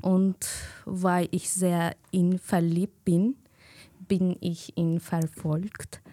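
A woman from Turkey, speaking slowly and hesitantly as a guest on a community radio show, pausing often to find her words. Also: lowish voice, level tone, warm tone, no room sound. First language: Kurdish. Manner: hesitant, searching for words with many fillers. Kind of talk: casual radio chat.

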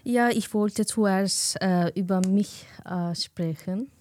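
A woman from Turkey, speaking slowly and hesitantly as a guest on a community radio show, pausing often to find her words. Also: mid-range voice, darkish tone, dry recording. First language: Kurdish. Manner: hesitant, searching for words with many fillers. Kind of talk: casual radio chat.

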